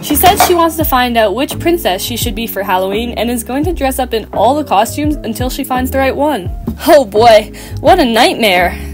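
A woman's voice: fairly high pitch, expressive, normal speed, faint music behind. A woman performing scripted children's dialogue for a doll story. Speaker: amateur voice performer for doll stop-motion videos.

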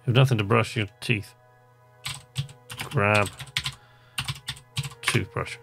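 Low, gravelly man commentating on a game. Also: steady tone, takes it slowly, music in the background. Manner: chatty, muttering to himself while playing. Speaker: let's play host. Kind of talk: casual gameplay commentary, reading on-screen text aloud.

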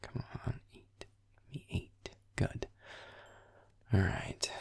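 Grumpy, sarcastic werewolf boyfriend for gaming roleplay audio male performer: very low, gravelly, breathy, irritated sweary muttering. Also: a little mellow, heavily acted. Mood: bored muttering.

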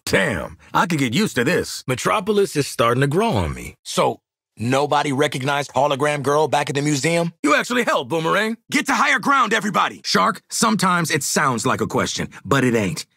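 Cocky American assassin, streetwise, raspy, animated, dry close sound, video game soldier. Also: male voice, on the high side, moderate pace, dry recording, full character voice. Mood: cocky, wry. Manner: brash, streetwise, wisecracking. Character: cocky, world-weary male assassin and squad soldier.

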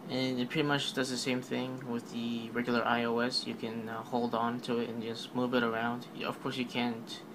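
Casual male narrator, monotone, rambling, noisy home voice-over. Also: medium pitch, normal speed, few pauses. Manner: offhand, rambling, filler-heavy. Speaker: tech video narrator. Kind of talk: casual voice-over recap of a keynote.

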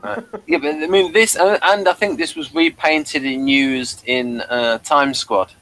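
Halting man chatting about television. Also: a little high, fairly even intonation, a bit bright, poor audio. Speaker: podcast host. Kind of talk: casual TV episode commentary chat.

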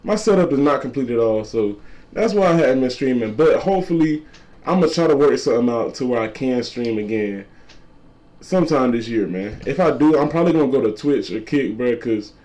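A twenty-year-old man with a deep voice, a content creator talking casually to camera and repeating his points. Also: animated, a little fast, a little mellow. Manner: repetitive, laid-back slangy talk, repeats lines. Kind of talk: casual vlog talk to camera.

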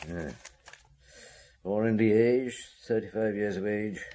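An actor playing a shrewd police detective who questions tersely in a radio drama. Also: male voice, on the low side, slow, dark tone, a little hiss or hum. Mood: terse, probing. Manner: terse, probing. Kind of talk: old radio drama acting.

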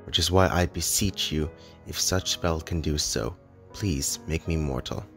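A brooding, lovesick immortal man from a fantasy cartoon, played by a male actor. His voice is deep and his delivery earnest and melodramatic. Light music sits underneath. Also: measured pace, a bit bright, heavily acted. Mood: anguished, pleading. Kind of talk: dramatic animated fantasy dialogue.

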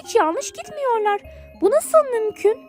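A woman voicing a curious, excitable little girl in a children's storybook tale, with an eager, wondering manner. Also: very high-pitched, measured pace, faint music behind, pitched above the performer's own voice, full character voice.

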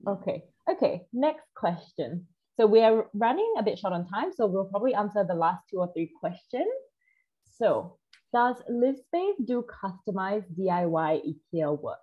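A woman's voice: medium pitch, very animated, normal speed. A young woman casually hosting a webinar and putting questions to a guest over a video call. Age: young adult. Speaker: webinar host. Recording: dull, muffled video call audio.

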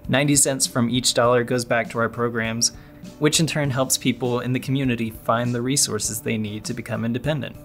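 A man's voice: medium pitch, steady tone, brisk. Male podcast host reading over background music. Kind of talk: scripted podcast narration.

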